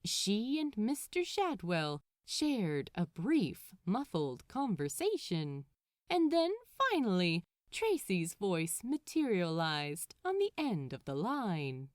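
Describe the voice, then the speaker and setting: Woman reading a story aloud, slow n really expressive, dry close sound. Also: medium pitch, no echo. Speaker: narrator. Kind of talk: expressive scripted fiction narration.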